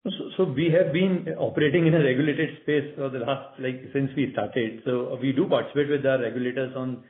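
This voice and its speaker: A man with an Indian accent, a company executive giving halting, measured, unscripted answers on a business call. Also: mid-range voice, steady tone, phone-quality sound, a little hiss or hum. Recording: echoey, band-limited conference call line.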